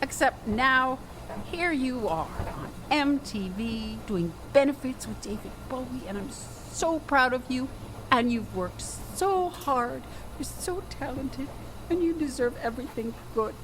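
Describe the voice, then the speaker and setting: Actress as self-deprecating laundry woman, wry, down on her luck, comedic radio drama, clear street and vehicle noise behind. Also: high-pitched, animated, measured pace. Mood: bitter, wistful.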